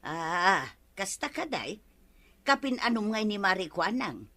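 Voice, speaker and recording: A woman acting a nagging, sharp-tongued village wife in an Ilocano radio comedy, with scolding, emphatic delivery. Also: mid-range voice, very animated, a little slow, heavily acted. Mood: bossy, gossipy.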